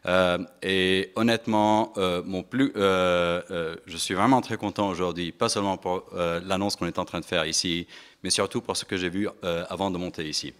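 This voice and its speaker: A middle-aged man giving a speech to an audience in an event hall, halting with many fillers and restarts. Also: sits low, steady tone, a bit bright, clean tone. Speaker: investment firm partner giving a speech. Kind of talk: conference speech.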